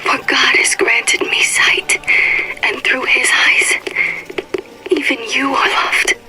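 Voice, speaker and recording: An actress playing a prophet's devout, guilt-ridden wife, solemnly confessing in a deliberate, sermon-like way on a game audio diary. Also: female voice, high voice, little pitch movement, whispered. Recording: noisy, crackly old audio diary.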